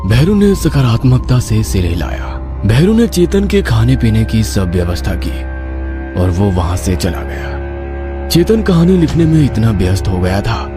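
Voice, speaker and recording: Male horror story narrator over music. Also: lowish voice.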